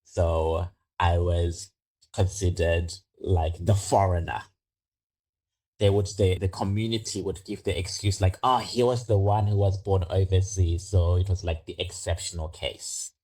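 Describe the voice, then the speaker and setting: A man from Zimbabwe with a very low, deep voice speaks unhurriedly, weighing each word. He sounds middle-aged and reflective as he answers an interviewer's questions. The pace stays slow and measured throughout. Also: steady tone, dark tone, rough recording. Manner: slow, thoughtful storytelling. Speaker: podcast guest.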